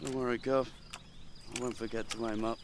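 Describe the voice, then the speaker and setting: An actor playing a dry, sardonic Allied soldier in a war game, loyal to his sergeant and deadpan. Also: mid-range voice, fairly quick, noisy background, natural voice. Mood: wry.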